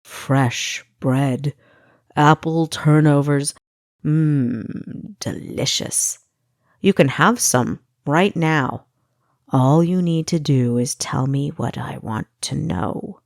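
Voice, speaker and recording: A smug male interrogator from an audiobook tale, acted out by a woman, taunts and cajoles his captive. The delivery is coaxing and menacing, in a lowish register with a dry, close sound. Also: slow, creaky, no room sound, exaggerated voice. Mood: coaxing, tempting.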